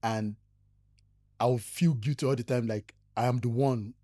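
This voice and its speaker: A man's voice: medium pitch, steady tone, measured pace, dark tone. A man in his thirties from Nigeria with a Nigerian accent, telling stories animatedly and laughing often in a casual podcast chat. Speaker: podcast co-host.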